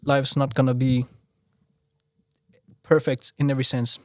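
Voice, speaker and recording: A young man who grew up in Austria chats as a guest on a podcast, his speech halting and full of restarts. His voice sits at a middle pitch for a man and is recorded close and dry, with no room sound. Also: little pitch movement, no echo. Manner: halting, restarts often, leans on "you know". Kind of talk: casual conversational interview.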